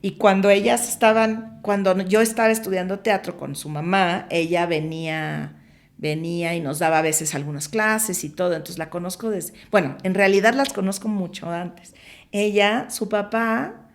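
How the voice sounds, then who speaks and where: A woman's voice: mid-range voice, moderate pace. A middle-aged woman with a Mexican Spanish accent, a film producer chatting in an interview, telling stories with lots of restarts and fillers. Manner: animated storyteller, many restarts and fillers. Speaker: film producer and director, podcast guest. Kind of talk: casual conversational interview.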